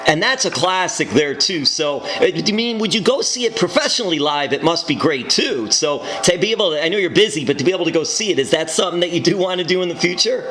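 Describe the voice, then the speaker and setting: Interview host: a man with a raised, light voice, keen and loose in his questions, steady noise behind him. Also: sits high, fast talker, hardly any pauses. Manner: eager, loose rambling questions.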